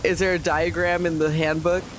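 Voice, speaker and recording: A man's voice: a little high, flat delivery, normal speed, background noise. A man playing a tabletop game, speaking casually with the others.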